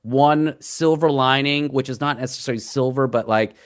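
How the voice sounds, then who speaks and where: A man appearing as a guest on a radio talk show, speaking in an earnest, impassioned way with quick restarts. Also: medium pitch. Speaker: guest commentator. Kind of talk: conversational radio interview.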